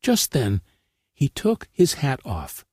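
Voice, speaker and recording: Slow, expressive male storyteller. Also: mid-range voice, slightly rough, no echo, clean studio sound. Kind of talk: scripted children's story narration.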